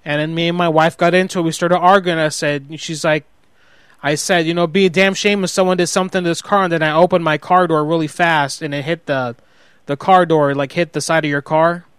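A man's voice: a little high, steady tone, fairly bright tone, clean tone, no echo, studio quality, natural voice. A man playing a hot-headed, sheepish husband in an improvised prank phone call, rambling and justifying himself as he goes. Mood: irritated, defensive. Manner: halting, rambling, self-justifying.

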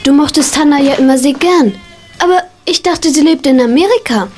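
A woman's voice: sits high, brisk, darkish tone, faint music behind, natural voice. An actress playing a concerned, loyal schoolgirl friend in a radio play. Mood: curious, concerned. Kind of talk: German radio play acting.

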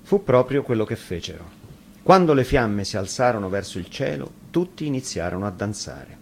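A man with a fairly low voice tells a children's fairy tale from a script. He works as the storyteller, and faint noise sits behind the recording. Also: very animated, normal speed, vocal fry, darkish tone.

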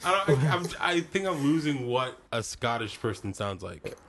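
A man's voice: mid-range voice, sing-song, moderate pace, fairly bright tone, slight background noise. Swearing, laughing male podcast host. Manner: swearing, laughing, doing joke accents. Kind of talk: casual banter among friends.

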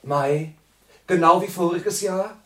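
An actor in a radio drama playing a brash, chatty, intrusive guest with a jovial, excitable, pushy manner. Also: male voice, sits high, slow, echoey room. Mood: chummy.

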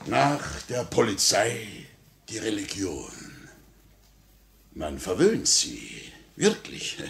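An actor playing a smug, cynical police chief in a 1950 radio play, suave and sardonic, coaxing and mocking in a measured theatrical way. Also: male voice, on the low side, very animated, creaky, fairly bright tone, full character voice.

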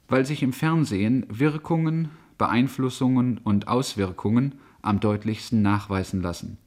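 Lowish male voice, old 70s educational radio lecture, very teachery and a bit superior, reading from script. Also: darkish tone. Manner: didactic, schoolmasterly, condescending. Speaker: lecture presenter.

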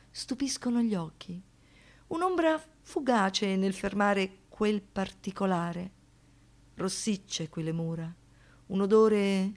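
A wounded, defiant woman who has survived violence in a radio theatre monologue. Her voice sits at a middle pitch. The delivery is intense and theatrical, able to drop to a hush or swell into an outburst. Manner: intense, swinging from hushed to outbursts.

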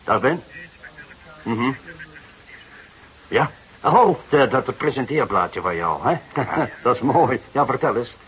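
An actor playing a sceptical, patient older police detective in a radio drama, with a measured, musing delivery. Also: male voice, medium pitch, lively intonation, fairly bright tone. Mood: businesslike. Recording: noisy radio drama recording.

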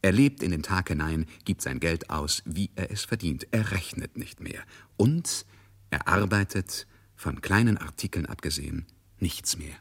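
Deep-voiced male radio drama narrator, emphatic. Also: lively intonation, husky, heavily acted. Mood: dramatic narrating. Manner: measured, emphatic, literary.

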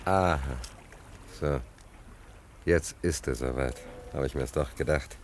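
Deep-voiced rambling drifter. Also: male voice, slow, slight background noise. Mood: defensive. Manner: colloquial, rambling, repeating himself. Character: homeless, hungry drifter angling in harbour, rambling, defiant, soft-hearted. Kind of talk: radio play acting.

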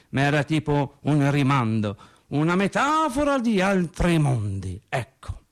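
Radio monologue: agitated working man, higher-pitched, very unhurried, circling back over his words in a colloquial ramble. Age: adult over thirty. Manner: halting, repetitive, colloquial storytelling with stammered restarts.

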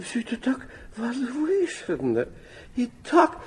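An actor playing a sentimental, frail old prince in a radio drama, genteel and tremulous in manner. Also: male voice, very high voice, huge pitch swings, slow pace, heavily acted. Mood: rapturous, tender. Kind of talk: Russian radio drama acting.

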